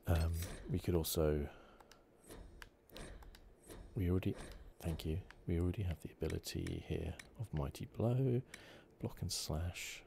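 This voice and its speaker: Male gaming host, hushed ASMR commentary. Rock-bottom pitch, relaxed and chatty, clear game noise behind. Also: unhurried. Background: video game ambience.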